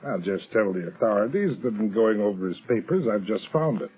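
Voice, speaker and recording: A man's voice: lowish voice, expressive, brisk, husky. An actor playing a scheming, ruthless swindler in a 1930s radio drama, bullying and sneering as he gives orders. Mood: commanding. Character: scheming ruthless male swindler and would-be killer. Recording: tinny lo-fi 1930s radio broadcast.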